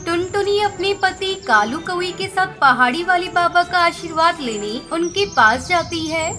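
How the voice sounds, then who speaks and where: A woman's voice: very high-pitched, expressive, unhurried. A woman reading scripted narration for a children's cartoon story. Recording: music under the narration.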